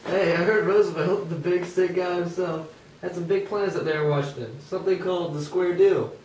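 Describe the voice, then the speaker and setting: A man's voice: high-pitched, fairly quick, a little mellow, close to an everyday voice. A man playing a disgruntled old-time American working man in an amateur puppet show. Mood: earnest. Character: disgruntled 19th-century American working man, sceptical of politics. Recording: echoey room, distant mic, faint noise.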